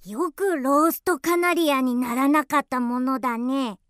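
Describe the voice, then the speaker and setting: A woman's voice: high-pitched, normal speed, fairly bright tone, no room sound. A woman voicing a cheeky young male pig who whines and teases in a radio drama. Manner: pert, interjecting, teasing. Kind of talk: Japanese radio drama acting.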